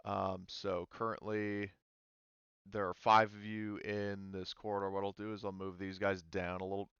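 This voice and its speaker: A man's voice: deep voice, flat delivery, moderate pace, fairly bright tone, smooth, no echo. A man running a casual online tabletop role-playing game as game master, explaining in hesitant pieces. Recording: dull, slightly muffled audio.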